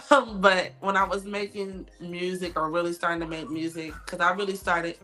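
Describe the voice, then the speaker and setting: Bright-voiced woman, laughing often. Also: on the low side, steady tone, normal speed, faint music behind, rough recording. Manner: laughs often, warm and open. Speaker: guest musician. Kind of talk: casual podcast interview.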